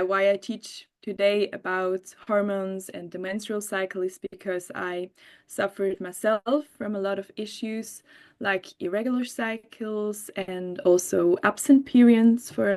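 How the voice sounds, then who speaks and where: A young woman from Switzerland with a Swiss German accent, a new medical doctor, giving an online workshop in a relaxed way with frequent fillers and giggles. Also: medium pitch. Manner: warm, giggly, many fillers. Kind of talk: casual online workshop talk. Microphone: video call.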